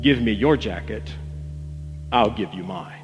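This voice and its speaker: A man telling a devotional parable as a dramatic storyteller, theatrical and emotive, with weighty pauses. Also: fairly low pitch, expressive. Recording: long reverb, music bed behind voice. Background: acoustic guitar music.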